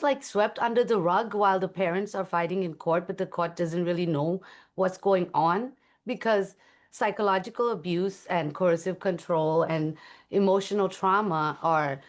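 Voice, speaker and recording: A woman's voice: on the low side, moderate pace. A woman from Thailand, an interview guest and musician, speaking earnestly and reflectively about her life. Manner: earnest, reflective, with soft laughs. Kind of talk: conversational interview.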